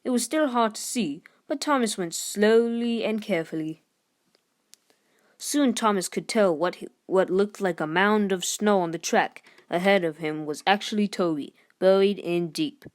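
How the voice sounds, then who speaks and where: A woman with a mid-pitched voice narrates a children's storybook. She reads the scripted text expressively, as one would to young listeners. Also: normal speed. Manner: expressive, lifts voice for character dialogue. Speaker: storybook reader.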